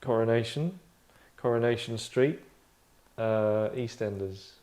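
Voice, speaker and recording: A man hosting an online table read in casual, wry chat, with a deadpan, mock-formal manner. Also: medium pitch, slow. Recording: roomy echo.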